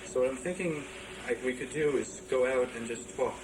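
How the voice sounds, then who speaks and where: Guy doing awkward earnest dating video dude, halting and hesitant, far from the mic with noise. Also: medium pitch, natural voice. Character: awkward, morbid, earnest man making a video-dating pitch. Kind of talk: comic video-dating monologue. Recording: distant mic, clear background noise.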